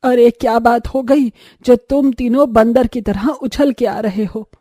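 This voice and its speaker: A woman's voice: fairly high pitch, fairly even intonation, a little slow, warm tone, no echo, clean studio sound. A woman voicing a stern, nagging, exasperated older mother-in-law in a cartoon. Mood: amused scolding.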